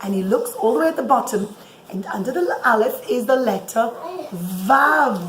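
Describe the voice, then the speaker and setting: A woman with a high voice tells children a religious story in an informal, motherly way. She repeats words for emphasis as she explains. The room is echoey, with clear noise behind her. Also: animated, moderate pace, fairly bright tone.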